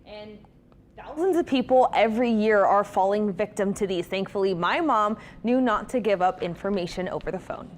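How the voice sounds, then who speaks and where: A woman's voice: mid-range voice, moderate pace. A woman working as a TV news reporter, delivering a scripted report.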